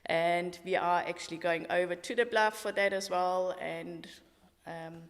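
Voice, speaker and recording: A woman's voice: on the low side, steady tone, unhurried. A middle-aged woman from Switzerland with a Swiss accent, a walking tour guide talking in a podcast interview in an enthusiastic, matter-of-fact way. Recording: roomy hall-like reverb.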